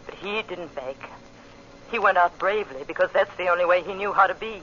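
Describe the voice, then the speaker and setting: An actor playing a bitter avenger bent on revenge, in an intense, brooding old-time radio monologue. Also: male voice, sits high, fairly quick, lightly acted. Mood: vengeful, intense. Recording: dull, noisy old radio recording.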